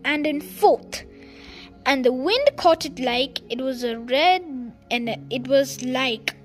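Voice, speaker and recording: A young child reads a storybook aloud in a very high, animated voice. The pace is slow, with words repeated as the reader finds the way through sentences. Faint music plays behind. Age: child, under 12. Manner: halting reading, repeating words and phrases.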